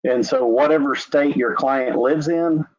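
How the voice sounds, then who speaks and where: This is a man with an Oklahoma accent and an ordinary middle-pitched voice, leading a webinar walkthrough. He talks in a relaxed, rambling way with plenty of filler. The sound is dull and lo-fi, like a web call. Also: a little slow. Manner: relaxed, conversational, with filler "uh" and "anyway". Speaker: insurance company representative. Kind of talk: screen-share webinar walkthrough. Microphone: video call.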